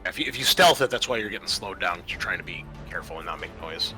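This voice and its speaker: Bright-voiced man running a tabletop game. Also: low-pitched, lively intonation, fast. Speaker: game master. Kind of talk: narrating a tabletop game, casual banter. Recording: music behind the voice. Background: music.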